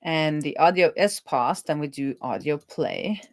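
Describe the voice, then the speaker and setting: A woman with a fairly low voice talks through her work as a casual coding streamer. The sound is dry, and she reasons out loud, trailing off and rephrasing her thoughts as she goes. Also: a little slow, dry recording. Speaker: host, web developer live coding.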